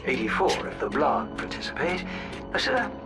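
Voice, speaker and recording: A prim, fussy robot butler. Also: male voice, fairly high pitch, very animated, moderate pace, loud music behind the voice.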